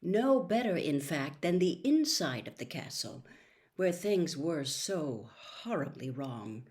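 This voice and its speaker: Lady reading a story aloud, slowish n deliberate, lowish voice, expressive dramatic phrasing. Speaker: audiobook reader, host of the show.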